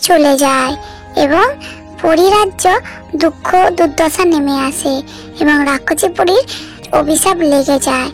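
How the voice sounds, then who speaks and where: A woman's voice: high-pitched, measured pace, long pauses, soft background music. A woman giving scripted narration of a fairy tale as the storyteller.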